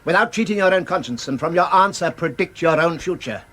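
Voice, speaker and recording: An actor playing a sinister, persuasive tempter in a radio drama, taunting and relentless, with an insistent theatrical delivery full of probing questions. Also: male voice, fairly high pitch, expressive, brisk, a bit bright. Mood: probing, scornful. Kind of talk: dramatic British radio play acting.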